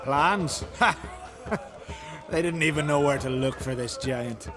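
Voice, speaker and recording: An actor playing a hearty tavern keeper in a fantasy video game, telling tales in a folksy, animated way. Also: male voice, on the high side, slow. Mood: amused, chatty. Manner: folksy, animated storytelling with archaic dialect words. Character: hearty male island tavern keeper, fond storyteller.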